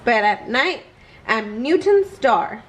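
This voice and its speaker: A woman's voice: fairly high pitch, big pitch swings, takes it slowly, fairly bright tone, exaggerated voice, poor audio. A woman voicing a shy, bookish boy space hero in an earnest, modest way for a children's storybook. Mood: earnest, apologetic. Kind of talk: children's storybook read-aloud.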